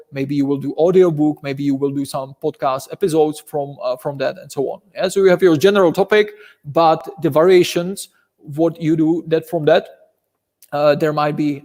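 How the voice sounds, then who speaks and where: A man's voice: fairly high pitch, fairly even intonation, moderate pace, darkish tone. Czech-accented man, reverberant phone audio. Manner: hesitant, repeats words. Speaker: co-host. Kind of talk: casual live chat.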